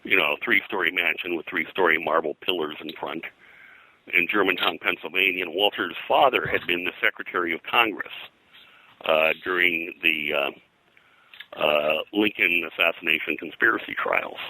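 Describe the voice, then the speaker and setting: An older man being interviewed as a historian, telling anecdotes in a halting, rambling way and often clearing his throat. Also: low voice, sharp tone, poor audio. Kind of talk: anecdotal interview talk about old-time radio and pulps.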